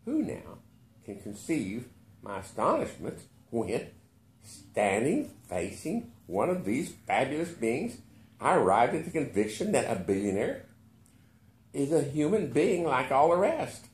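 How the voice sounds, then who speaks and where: A man reading a literary short story aloud with expressive narration. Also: medium pitch, unhurried, roomy sound. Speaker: story reader.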